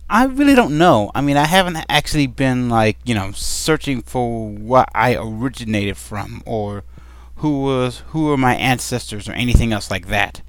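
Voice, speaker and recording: A man's voice: medium pitch, expressive, normal speed. A man chatting casually at home, answering a list of questions with many ums and a playful, rambling manner. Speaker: vlogger answering a question tag. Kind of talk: casual chat. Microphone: desk microphone.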